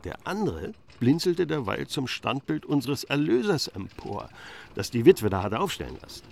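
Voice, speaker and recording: An actor playing a genial cemetery caretaker, a dry raconteur telling anecdotes in a radio drama. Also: male voice, mid-range voice, sing-song, normal speed, vocal fry, darkish tone. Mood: relishing a story.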